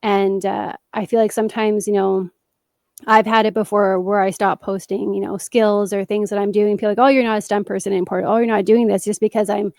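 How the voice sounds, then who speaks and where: A woman's voice: mid-range voice, flat delivery, brisk, gravelly, a little mellow, dry recording, studio quality. A woman hosting a podcast interview, asking long rambling questions full of fillers. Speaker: podcast host. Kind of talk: casual interview chat.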